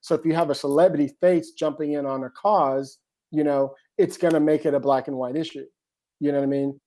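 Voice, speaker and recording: Lively higher-voiced man chatting. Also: a little fast. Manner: animated, keeps saying "you know what I mean". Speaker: screenwriter guest. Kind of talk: casual conversation.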